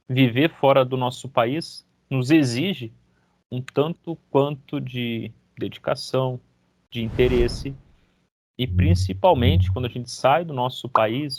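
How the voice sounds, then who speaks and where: A man's voice: mid-range voice, slight background noise. A man hosting a casual interview chat, enthusiastic and chatty, his voice jumping up when he greets. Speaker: podcast host.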